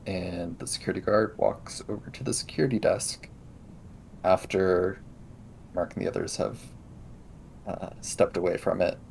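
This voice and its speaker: Casting: male tabletop role-player, low voice, recorded with rumbling background noise. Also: steady tone, moderate pace, gravelly, long pauses, a little mellow. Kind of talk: narrating and role-playing in a tabletop game.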